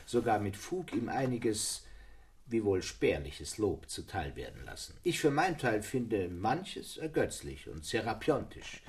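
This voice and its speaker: A genial man of letters in a radio drama. His voice is fairly low and moves up and down in lively arcs. He phrases things ornately, with irony. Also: measured pace. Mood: wry approval. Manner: ornate, ironic. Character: genial literary friend critiquing with ironic generosity. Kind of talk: literary radio drama acting.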